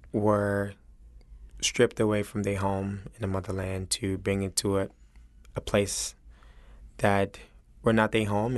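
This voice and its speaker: Earnest man with a West African accent, low-pitched. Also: no echo. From Senegal. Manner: earnest, halting, searching for words. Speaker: chef interviewed as guest. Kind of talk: reflective interview talk.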